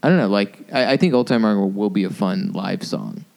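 A man's voice: fairly low pitch, expressive, brisk, creaky, darkish tone. A man co-hosting a casual podcast chat, joking and riffing on song titles. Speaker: podcast co-host.

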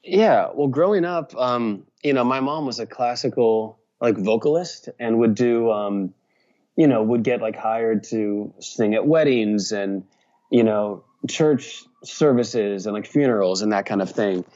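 A man's voice: medium pitch, a little slow, a little mellow, muffled recording. A man from Virginia, a musician and journalist, giving rambling casual interview answers full of fillers and restarts.

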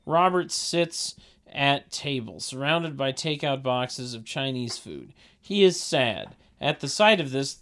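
A man's voice: medium pitch, sing-song, slow, a bit bright. A man reading stage directions as narrator at a comedy table read, in a wry, mock-formal manner.

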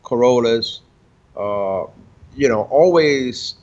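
A man in his fifties, from the Dominican Republic and raised in Puerto Rico, tells his story slowly and haltingly, restarting words as he goes. His voice is mid-pitched. The recording sounds muffled and lo-fi. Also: expressive, darkish tone. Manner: halting, many restarts, laughs between stories. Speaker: guest, car builder and tuner. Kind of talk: casual interview storytelling. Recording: dull lo-fi sound.

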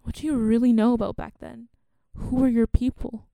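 An actress playing a solemn, resolute woman in a radio play, speaking in a measured, earnest way. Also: on the high side, level tone, gravelly, mellow, no echo. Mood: grave, insistent. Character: solemn, resolute woman confessing a long-hidden identity and grief.

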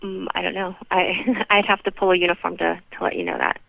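A woman receptionist heard down a narrow, muffled telephone line. Her voice has a clear creak, and she explains things patiently with some hesitation. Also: on the low side, level tone, brisk, dry recording. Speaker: karate school receptionist. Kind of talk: explaining classes on a phone call.

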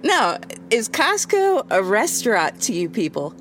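Dry-witted woman with a lively voice. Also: a little high, a little slow, slight background noise, low-quality recording. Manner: dry, teasing quips. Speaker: podcast co-host. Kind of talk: casual chat. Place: parked car. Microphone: home podcast mic.

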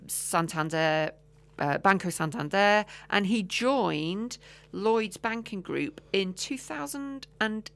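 Chatty woman, lowish voice. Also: fairly bright tone. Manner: chatty, trails off with many ums and restarts. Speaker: podcast co-host. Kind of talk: casual chat.

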